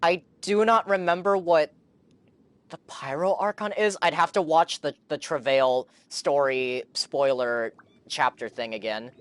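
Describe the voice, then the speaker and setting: A man's voice: a little high, fairly even intonation, unhurried, clear, resonant tone, dry recording. Male game streamer, casual commentary, rambling, thinking aloud. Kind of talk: casual live reaction commentary.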